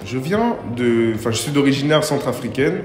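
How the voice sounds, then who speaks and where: A man's voice: mid-range voice, animated, normal speed. A man in his twenties from Paris, answering interview questions casually with slang and many hesitant fillers. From Paris, France. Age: 20s. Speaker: interviewee, video creator. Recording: echoey room with music behind.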